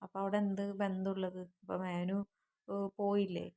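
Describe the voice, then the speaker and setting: A woman's voice: medium pitch, flat delivery, normal speed, mellow. A woman casually recounting events, as in a private voice message.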